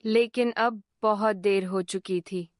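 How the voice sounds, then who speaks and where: A woman narrating a moral cartoon story in a calm, measured voice-over. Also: mid-range voice, no room sound, studio quality.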